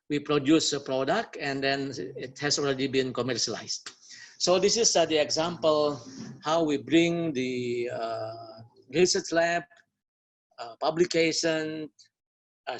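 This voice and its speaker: A man with an Indonesian accent giving an academic webinar presentation as a researcher, speaking haltingly and repeating words. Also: fairly high pitch.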